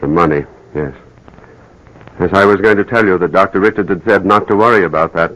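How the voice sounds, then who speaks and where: Low-voiced, grave, hesitant doctor. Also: male voice, a bit bright, low-quality recording. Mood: grave, reluctant. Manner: measured, apologetic, halting. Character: grave, hesitant male doctor breaking bad news. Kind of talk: 1940s radio drama acting.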